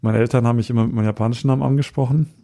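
A man's voice: mid-range voice, fairly even intonation, racing, dark tone. A man in his thirties from Hamburg, a guest on a podcast, talking casually and hesitantly with many fillers. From Hamburg, Germany. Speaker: podcast guest, street photographer. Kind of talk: casual interview chat.

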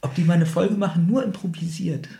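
A man's voice: high-pitched, brisk, gravelly, noisy background. A man co-hosting a podcast in casual, conversational chat, chiming in with opinions and corrections on an audio drama. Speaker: podcast co-host.

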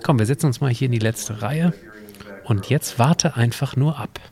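A man's voice: medium pitch, moderate pace, creaky, darkish tone. A man hosting a semi-scripted audio play, explaining things to a companion and to listeners. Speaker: podcast host and co-creator of an exhibition audio play.

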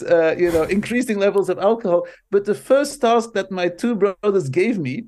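A man's voice: high voice, a little mellow. A middle-aged man from Belgium, a native Dutch speaker with a Belgian Dutch accent. He is a guest on a podcast, chatting casually and telling stories, and he often doubles up words like "so, so" and laughs between phrases. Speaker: guest, marketing professor.

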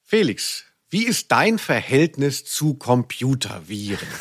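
A man's voice: medium pitch, very animated, slow pace, a little hiss or hum. A man hosting a podcast in casual, chatty style, rambling and correcting himself as he goes. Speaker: podcast host.

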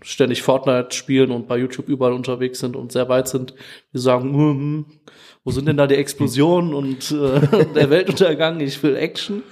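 A man's voice: mid-range voice, steady tone, moderate pace, darkish tone. A man talking casually as a podcast guest, explaining things as a music educator. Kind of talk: casual interview talk.

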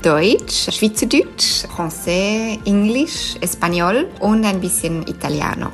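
A Swiss woman with a Swiss German accent, answering interview questions thoughtfully, often pausing with fillers and restarting sentences. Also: mid-range voice, expressive, soft background music. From Switzerland. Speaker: interview guest.

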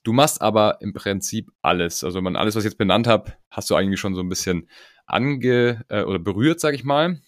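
A man with a very deep voice hosts a podcast interview at a brisk clip. He is chatty and upbeat, with fillers and quick asides. His voice sounds dry and close to the mic. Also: no room sound. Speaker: podcast host.

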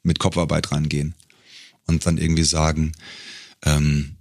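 Very deep-voiced man talking thoughtfully. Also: flat delivery, a little mellow, dry recording. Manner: thoughtful, halting, searching for words. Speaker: interview guest, professional voice artist and singer. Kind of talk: casual interview talk.

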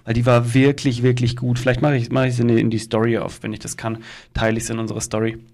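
A man's voice: medium pitch, monotone, quick pace, a little mellow. A man chatting casually as a podcast co-host.

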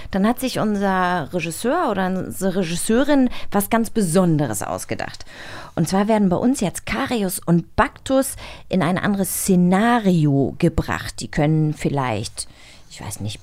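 A woman's voice: lowish voice, a little mellow. A woman co-hosting a podcast in casual conversation. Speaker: podcast co-host. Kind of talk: casual chat.

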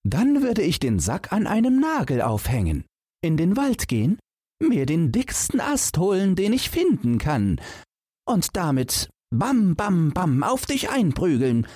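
Cheeky young fairy-tale heroine, acted by a man: clever, taunting, pitched far up, playful. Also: huge pitch swings, measured pace, darkish tone, husky, no echo, clean studio sound, exaggerated voice. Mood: cheeky, brisk.

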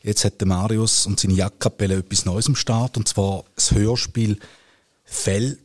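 A man's voice: fairly low pitch, steady tone, unhurried, darkish tone. A man with an eastern Swiss German accent, hosting a conversational podcast interview. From Switzerland. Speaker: podcast host, journalist.

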